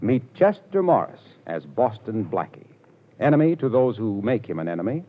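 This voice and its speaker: A polished male radio announcer with a lively, swooping delivery, introducing an old-time show. The sound is thin and phone-like, the tinny band of a vintage broadcast. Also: on the low side, normal speed. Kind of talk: 1940s radio announcing and sponsor ad.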